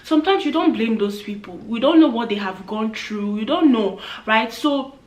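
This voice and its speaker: A woman's voice: fairly high pitch, lively intonation, normal speed. A young woman in her twenties giving earnest, chatty advice to camera, acting out the voices she quotes. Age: 20s. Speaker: vlogger. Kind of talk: casual advice talk to camera. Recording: echoey room.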